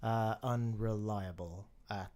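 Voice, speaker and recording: A man's voice: on the low side, fairly even intonation, takes it slowly, darkish tone. A man, a player describing his character with a hesitant manner full of fillers. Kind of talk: casual chat.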